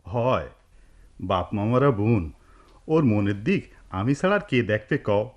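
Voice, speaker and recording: A man's voice: fairly low pitch, sing-song, unhurried, darkish tone. An actor playing a doting village family man in a radio drama, with a rural Bangladeshi accent. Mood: homely, affectionate.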